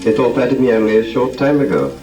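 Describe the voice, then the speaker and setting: A man's voice: medium pitch, lively intonation, fast, dark tone, distant mic, faint music behind, close to an everyday voice. A man playing a determined, formal inventor leading his assistants in a 1930s radio drama. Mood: resolute. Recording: crackly 1930s record, echoey playback from afar.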